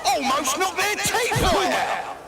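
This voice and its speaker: An actor playing a rowdy hype man, shouting bombastic, foul-mouthed commentary at a brutal underground fight club in a video game. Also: extremely high pitch, expressive, takes it slowly, noisy background, exaggerated voice. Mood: frenzied excitement. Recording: loud music and crowd behind.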